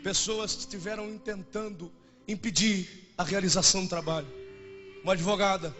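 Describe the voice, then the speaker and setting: A man's voice: high-pitched, a bit bright. A young man in his twenties with a Brazilian Portuguese accent, preaching a fervent and emotional sermon as a pastor. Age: 20s.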